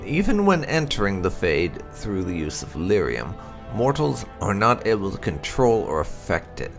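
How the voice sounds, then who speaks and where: A man reading game lore aloud in a measured, formal narration style. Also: on the low side, very animated, vocal fry, soft background music. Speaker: gaming channel host reading lore entries. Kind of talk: read-aloud narration of fantasy game codex.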